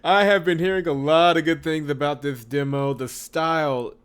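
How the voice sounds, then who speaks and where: A man hosting a visual novel playthrough, chatting casually and reading the story aloud in an expressive way with wry asides. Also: a little high, normal speed, clean tone. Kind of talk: casual let's-play commentary with read-aloud narration.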